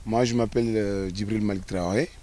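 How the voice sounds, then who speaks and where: Senegalese footballer in an interview, lowish voice, stumbles and repeats words, faint room noise. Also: male voice, steady tone, brisk, mellow. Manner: hesitant, repeats words as he restarts. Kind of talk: documentary interview.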